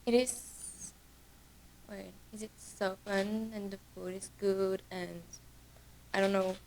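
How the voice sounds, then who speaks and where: Slow, giggly teenage girl from Belgium. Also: medium pitch, level tone, vocal fry, darkish tone, a little hiss or hum, low-quality recording. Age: teens. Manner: hesitant, giggly, searching for words. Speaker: exchange student, interviewee.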